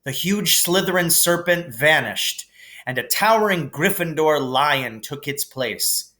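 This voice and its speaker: A man reading a children's novel aloud as an expressive storybook narrator. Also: on the high side, measured pace.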